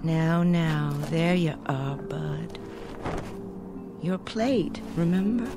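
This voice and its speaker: An actress in a radio drama playing a sharp, composed woman who questions in a measured, pointed way. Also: low-pitched, full character voice. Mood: soothing. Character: sharp, composed woman coolly dismantling men's explanation. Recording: music bed under the dialogue.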